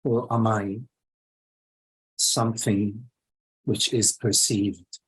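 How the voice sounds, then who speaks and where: A man leading a guided meditation as a teacher, speaking in short phrases with long pauses between them. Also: on the low side, flat delivery, husky, poor audio.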